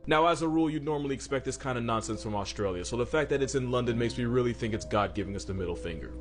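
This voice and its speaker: A man's voice: mid-range voice, animated, racing. A man delivering comedic scripted narration about animals, with a wry, slangy, sarcastic manner. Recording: music behind the voice. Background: background music.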